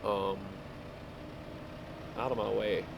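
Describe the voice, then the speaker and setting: A man casually telling rambling stories while he plays a game, halting and easily distracted. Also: on the low side, steady tone. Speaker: gamer telling stories over gameplay. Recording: clear background vehicle engine noise. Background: game vehicle engine sounds.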